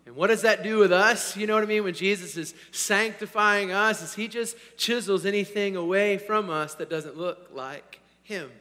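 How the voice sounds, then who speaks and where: Hoarse male pastor, bright and fairly high, energetic, swings from loud to hushed, long hall reverb. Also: moderate pace, few pauses. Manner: energetic, swinging from loud to quiet, repeats "all right?". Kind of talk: sermon. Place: church sanctuary.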